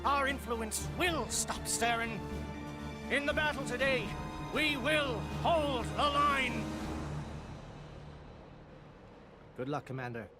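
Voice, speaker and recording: An actor playing a resolute, gravely dignified alien captain in a video game, speaking in a formal, clipped, rousing manner. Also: male voice, extremely high pitch, very animated, measured pace, over loud music, heavily acted. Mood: solemn, rousing. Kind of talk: video game cutscene acting.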